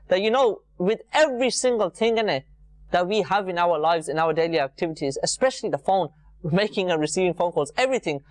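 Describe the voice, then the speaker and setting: A man's voice: sits high, dry recording. Man presenting an earnest religious talk. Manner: earnest, repetitive phrasing for emphasis. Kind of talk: informal religious talk to viewers.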